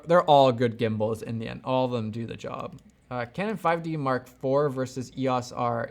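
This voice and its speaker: A man hosting a casual livestream as a camera gear YouTuber, rambling and thinking aloud as he gives opinions and answers viewers. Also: medium pitch. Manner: off-the-cuff, rambling, thinking aloud, backtracking mid-thought.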